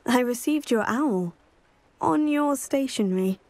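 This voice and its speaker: A woman's voice: high-pitched, expressive, measured pace, a little mellow, no echo. An actress with a British accent playing a polite, self-assured teenage student witch in a video game, composed and well-spoken. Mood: polite, firm. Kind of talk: dramatic video game voice acting.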